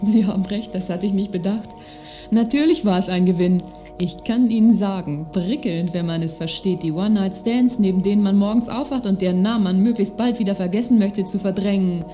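An actress playing a prim, insecure and gullible shop customer in an audio drama, with a formal, earnest manner. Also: female voice, mid-range voice, brisk, mellow, lightly acted. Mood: coy. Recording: echoey room with music behind.

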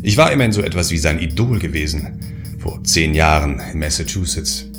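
A man's voice: a bass voice, expressive, a little fast, over music. A man playing a confident, grandiose sect leader in a science fiction radio play, speaking in a lofty, solemn way. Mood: solemn, visionary. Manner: self-assured, solemn, lofty. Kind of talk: radio play dialogue and first-person narration.

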